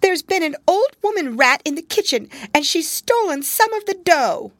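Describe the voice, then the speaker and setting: A frightened little kitten squeaks out her words in a very high, breathless voice, as a woman storyteller puts her on. Each phrase sounds frantic and young. Close and dry storybook reading. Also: sing-song, very fast, pushed and bright, no room sound, heavily acted.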